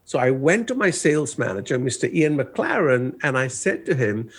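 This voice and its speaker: A man's voice: mid-range voice, very animated, moderate pace, breathy edge. A man in his sixties from Malaysia gives a presentation as a guest speaker and tells a personal story in a conversational way, with the occasional "uh". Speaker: guest speaker, cross-cultural coach.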